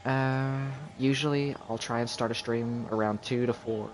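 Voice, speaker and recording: A man's voice: mid-range voice, fairly even intonation, normal speed, a little hiss or hum. A man streaming a video game, chatting casually and thinking aloud as he plays. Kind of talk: casual gameplay commentary. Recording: game music loud behind.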